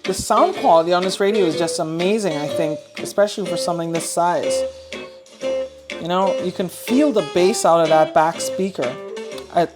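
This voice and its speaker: Low-pitched woman chatting through a product review. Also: moderate pace, loud music behind the voice. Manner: chatty, informal, thinking aloud while demonstrating. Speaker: host of a radio review channel. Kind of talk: casual product review vlog.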